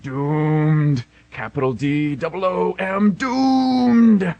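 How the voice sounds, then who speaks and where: A man voicing a panicky, excitable goldfish with frantic, exaggerated alarm in an amateur radio comedy. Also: a little high, very slow, creaky, dry recording, lo-fi sound, heavily acted. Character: panicky, excitable male goldfish afraid of pirates.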